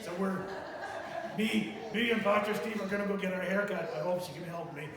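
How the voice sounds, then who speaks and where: Man voicing a high, excitable puppet host in echoey hall. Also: fairly quick. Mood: sheepish. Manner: flustered, bantering, repeats himself. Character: excitable, chatty, shaggy-haired puppet show host. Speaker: children's puppet show host. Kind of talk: improvised church puppet show. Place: large church room with live children's audience. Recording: echoey, noisy hall, far from mic.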